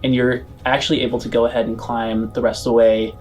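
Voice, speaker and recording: Man, game master, medium pitch, conversational tabletop narration, music behind. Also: flat delivery, quick pace, a little mellow.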